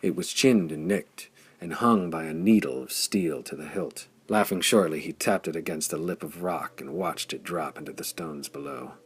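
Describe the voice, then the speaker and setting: A man narrating a pulp adventure audiobook in a measured, theatrical storytelling style. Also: extremely low pitch, husky.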